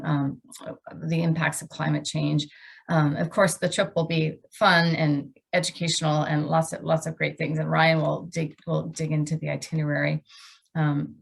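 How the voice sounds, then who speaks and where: Low, gravelly-voiced woman hosting a webinar. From United States. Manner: hesitant, many fillers and restarts. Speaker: travel company founder and webinar host. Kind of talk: webinar presentation.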